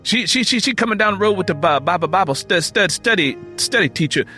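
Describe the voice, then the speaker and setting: A man's voice: sits high, expressive, fast talker, bright, forceful tone, soft background music, pitched above the performer's own voice. A man voicing a young boy with a heavy stammer who blurts out all he knows in an audiobook drama. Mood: eager, snickering. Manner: heavy stammer, repeating sounds and words. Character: groggy stuttering boy who tells everything he knows.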